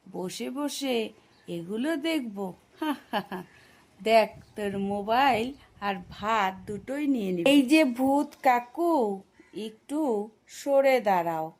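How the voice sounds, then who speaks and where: Woman cartoon voice artist, raised pitch, unhurried, playful teasing reads. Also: darkish tone. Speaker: voice actor doing several cartoon parts. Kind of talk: cartoon voice acting, slow and drawn out.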